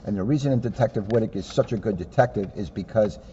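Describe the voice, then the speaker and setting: A man's voice: fairly low pitch, fast, mellow. A man, a police officer, giving casual explanations in a dull recording with some faint noise. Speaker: police officer commenting during a read-aloud.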